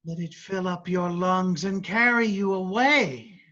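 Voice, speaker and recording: A woman's voice: fairly low pitch, a little slow, fairly bright tone. A woman in a staged play reading, playing a free-spirited, easygoing spirit who encourages a young girl. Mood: light, encouraging. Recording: dull lo-fi recording.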